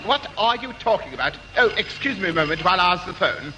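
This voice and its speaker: A mild, prim middle-aged gentleman in a 1960s radio comedy. His high voice rises and falls animatedly as he fusses politely and hesitates. Long reverb hangs on the old recording. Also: sing-song, fast. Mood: fussy, anxious. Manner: fussy, proper, hesitant. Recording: reverberant, faintly hissy old radio broadcast.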